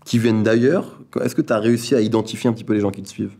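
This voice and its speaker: A man hosting a casual interview, asking quick conversational questions. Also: on the low side, mellow. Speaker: interviewer host.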